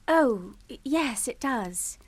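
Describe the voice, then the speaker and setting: An actress playing a fragile, nervous young English daughter in a radio suspense drama, timid and halting. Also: female voice, fairly high pitch, animated, slightly rough, dry recording, exaggerated voice. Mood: nervous, brittle. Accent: British.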